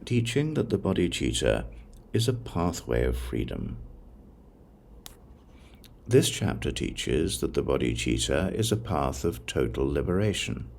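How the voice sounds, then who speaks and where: A man reading a religious text aloud as a scripted audiobook, in a measured, solemn way with deliberate pauses. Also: a bass voice, big pitch swings, vocal fry, a little mellow, a little hiss or hum. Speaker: audiobook narrator.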